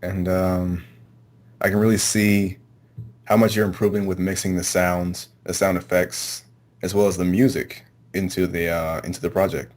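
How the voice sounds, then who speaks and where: A man with a low voice speaks as a guest in a casual interview. He is hesitant, feeling his way through brief answers in halting phrases. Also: flat delivery, a little mellow. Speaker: interview guest, amateur voice actor.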